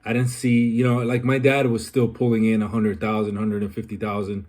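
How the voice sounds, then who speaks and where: A young man from Colombia talking through a personal, reflective vlog, pausing often with ums and uhs. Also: fairly low pitch, little pitch movement, darkish tone. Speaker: vlogger.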